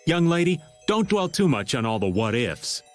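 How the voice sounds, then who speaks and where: A wry middle-aged man in a fantasy game, laid-back and folksy. His pitch roams widely, with music clearly behind him. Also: very animated, normal speed. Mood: easygoing, wry. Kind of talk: English game dub voice acting.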